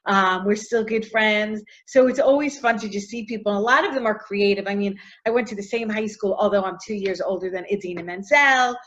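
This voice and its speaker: A middle-aged actress answering interview questions in a casual, chatty way, full of fillers and often trailing off softly. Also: female voice, medium pitch, fairly quick, few pauses, lo-fi sound, slight background noise.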